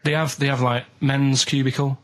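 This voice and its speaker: A man's voice: medium pitch, level tone, normal speed, a bit bright. A man with a Mancunian accent telling casual anecdotes as a radio sidekick, earnest and rambling, checking in with short tags as he goes. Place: radio studio. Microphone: studio microphone.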